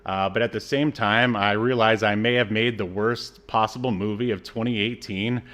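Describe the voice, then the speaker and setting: Low-pitched man rambling casually about films. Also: fairly even intonation, moderate pace, fairly bright tone. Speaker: podcast co-host. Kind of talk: casual film discussion chat.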